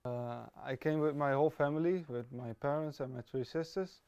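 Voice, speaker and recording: Dutch-native eighteen-year-old man, hesitant interview answers, gravelly voice, muffled recording. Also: medium pitch, darkish tone. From Netherlands. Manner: halting, hesitant phrasing. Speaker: student.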